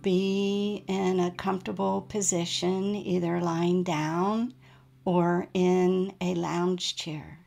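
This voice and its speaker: A woman guiding a relaxation meditation as a yoga nidra teacher, in a gentle, soothing manner with unhurried pauses. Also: lowish voice, level tone, creaky, soft background music.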